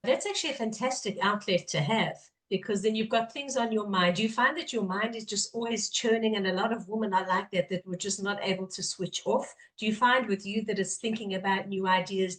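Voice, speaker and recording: A woman hosting a podcast talks with a guest in an easy, eager way, often stopping to rephrase her questions. Her pitch sits in the middle range for a woman. She sounds like a regular host. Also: steady tone, normal speed, few pauses, a bit bright, rough recording. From Israel-based, English-speaking. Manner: chatty, enthusiastic, restarts often. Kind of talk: warm interview chat.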